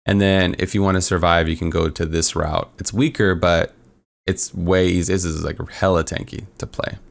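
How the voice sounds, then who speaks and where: Gravelly deep-voiced man, rambling gamer. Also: steady tone, moderate pace. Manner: rambling, filler-heavy, upbeat. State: losing his voice. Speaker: gaming content creator. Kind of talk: casual walkthrough of a tier list.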